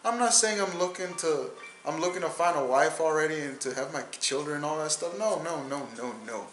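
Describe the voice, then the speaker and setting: A man's voice: on the high side, a little fast, a bit bright, reverberant, a little hiss or hum, poor audio. A man in his twenties hosting a solo podcast in a casual, rambling way, repeating words and restarting his sentences. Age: 20s. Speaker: podcast host.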